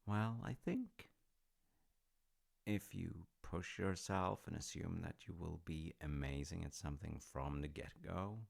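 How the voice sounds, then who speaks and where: Man acting a low, gravelly, encouraging dad. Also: a little slow, full character voice. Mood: fond, reassuring. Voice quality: gravelly, creaky. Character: warm, encouraging, gently teasing dad. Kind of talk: intimate audio roleplay, slice-of-life acting.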